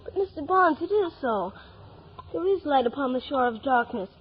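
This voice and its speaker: A woman's voice: high voice, lively intonation, moderate pace. A woman playing an earnest, imaginative schoolboy full of breathless wonder in 1950s radio drama style. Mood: fervent pleading. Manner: breathless, earnest, wide-eyed. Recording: dull, noisy vintage radio recording.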